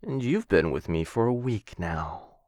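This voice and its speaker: A man's voice: on the low side, big pitch swings, a little slow, darkish tone, no room sound, studio quality. A man playing a smug, menacing, possessive dragon captor in a fantasy ASMR roleplay, drawling condescendingly and theatrically. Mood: self-satisfied, coaxing.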